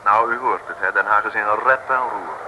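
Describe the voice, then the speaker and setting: An actor playing a chatty storyteller, narrating an old radio serial and addressing listeners directly. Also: male voice, on the low side, moderate pace, bright, forceful tone, highs cut off. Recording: noisy old radio recording.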